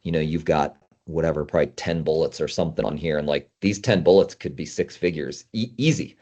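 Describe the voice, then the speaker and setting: Male consultant, low-pitched, casual and emphatic advisor, slightly lo-fi recording. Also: fairly quick, dark tone. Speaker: guest consultant.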